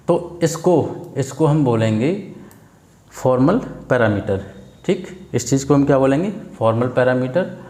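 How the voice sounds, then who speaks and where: A man's voice: medium pitch, a little mellow. Indian man tutoring in an echoey room. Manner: didactic, asks and answers own questions, frequent check words. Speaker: programming tutor. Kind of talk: informal screen-share tutorial, explaining step by step. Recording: echoey, roomy sound.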